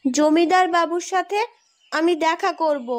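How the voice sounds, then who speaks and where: A woman's voice: very high-pitched, steady tone, unhurried. A woman voicing a young, determined daughter of a poor farmer in a cartoon story, speaking in an earnest, defiant way. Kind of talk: Bengali cartoon story acting.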